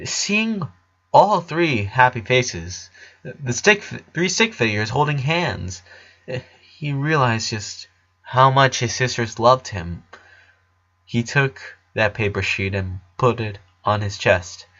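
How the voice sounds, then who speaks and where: A man's voice: mid-range voice, expressive, slow, a bit bright, muffled recording. A man reading a scripted story aloud as its narrator.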